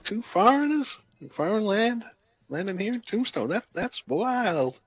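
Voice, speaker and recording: A man playing his character in a tabletop game, talking in an excited, animated way. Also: high-pitched, measured pace, breathy edge, no echo. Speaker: player.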